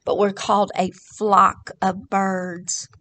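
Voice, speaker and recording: A woman's voice: medium pitch, little pitch movement, slow pace, a bit bright, no echo. An older woman voicing a curious, wide-eyed seagull in a children's storybook, with wondering, emphatic delivery. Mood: explaining.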